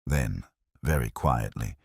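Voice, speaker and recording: A man reading a Victorian detective mystery as a measured, literary first-person story. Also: rumbling low, gravelly, husky, no echo, studio quality. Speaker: audiobook narrator.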